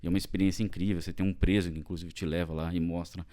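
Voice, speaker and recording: A man's voice: low voice, little pitch movement, racing, warm tone. A man from Brazil talking casually as a podcast guest, rambling with many fillers and tag questions. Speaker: podcast guest, tax executive. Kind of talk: casual interview chat.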